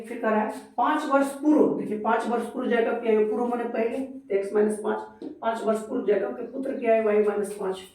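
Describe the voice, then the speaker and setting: A man's voice: high voice, a little mellow. A man teaching a maths class, working through a problem step by step and asking questions that he answers himself. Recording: echoey room, voice far from the mic.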